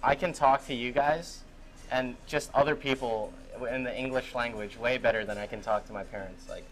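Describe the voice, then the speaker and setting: A man's voice: medium pitch, steady tone, normal speed, soft background music, low-quality recording. A young man interviewing someone in a casual chat on a cruise ship, rambling with lots of fillers and frequent laughs.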